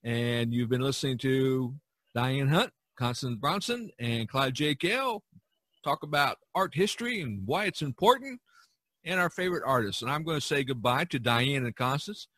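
A man's voice: medium pitch, expressive, normal speed, fairly bright tone, no room sound. A man hosting a casual podcast chat among artist friends, rambling a little and repeating his phrases. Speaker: podcast host.

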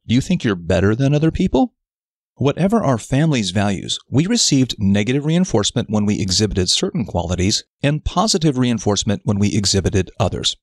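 A man reading a scripted self-help article as narrator, in a measured, deliberate, instructive way. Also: medium pitch, no echo, studio quality.

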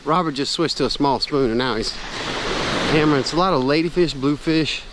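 An enthusiastic middle-aged man hosts a fishing vlog, calling out what he sees in a high, lively voice. He was recorded outdoors on a beach with a body-worn camera, with music laid loudly over the surf. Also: brisk, rough recording. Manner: enthusiastic, exclaiming. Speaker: fishing show host. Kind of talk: excited on-the-spot vlog narration. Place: outdoors on an ocean beach at dawn. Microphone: action camera worn on the body.

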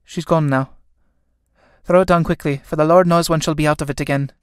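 A woman's voice: deep voice, steady tone, a little fast, darkish tone, clean tone, no echo, clean studio sound, close to an everyday voice. A woman playing an anxious, scolding young Irish elder sister in a stage drama, speaking in an earnest, urgent way.

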